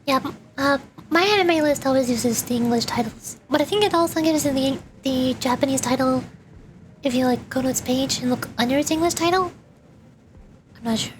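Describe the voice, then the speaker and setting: A woman's voice: high voice, brisk, background noise. A woman livestreamer chatting with viewers as she plays a game, explaining things and repeating herself for emphasis. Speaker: VTuber streamer. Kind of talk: livestream chat while playing a game.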